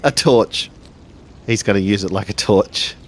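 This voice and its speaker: A man playing a tabletop roleplaying game, narrating his character's actions haltingly as he searches for words. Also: on the low side, a little hiss or hum.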